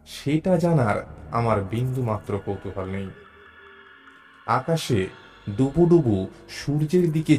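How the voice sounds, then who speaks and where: Male narrator for a suspense audio story, mid-pitched, very unhurried, measured and dramatic. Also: darkish tone.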